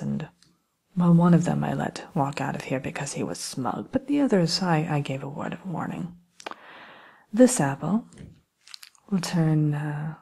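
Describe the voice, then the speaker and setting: A woman playing a sly, genteel evil queen shopkeeper in a soft, breathy, often whispered ASMR role play. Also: low voice, full character voice. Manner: unhurried, genteel, slyly menacing.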